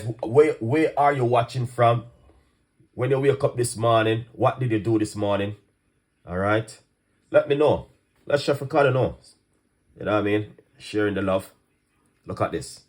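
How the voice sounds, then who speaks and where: A man with a Jamaican accent hosting a casual cooking live stream, talking fast, laughing often and greeting viewers by where they watch from. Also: on the low side. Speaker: cooking channel host.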